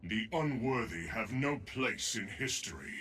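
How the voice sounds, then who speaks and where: An actor playing a proud, imperious desert emperor in a video game, grand, haughty and declamatory. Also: male voice, fairly low pitch, lively intonation, a little slow, sharp tone, breathy edge, soft background music, exaggerated voice. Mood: solemn, imperious. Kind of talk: video game character voice lines.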